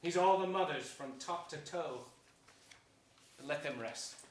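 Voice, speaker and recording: A man's voice: on the high side, lightly acted. An actor playing a scheming, sardonic nobleman in a declamatory Shakespearean stage style, sly and falsely courteous. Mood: commanding, scheming. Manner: declamatory, sly, with drawn-out deliberate phrasing. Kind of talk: theatrical Shakespearean stage acting. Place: theater stage before an audience. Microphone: distant stage or camera mic. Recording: roomy stage pickup, distant, faint noise.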